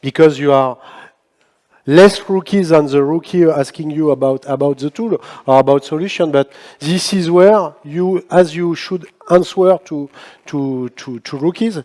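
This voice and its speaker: Halting man in a reverberant hall. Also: fairly high pitch, warm tone. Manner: halting, many restarts and fillers. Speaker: panel speaker. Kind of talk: unscripted panel answer. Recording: long reverb of a large hall.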